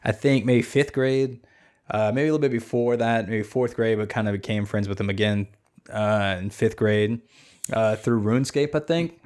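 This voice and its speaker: A young man chats casually as a podcast host. His voice sits on the low side, and he rambles, stacking fillers and restarts as he talks. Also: normal speed, darkish tone, smooth.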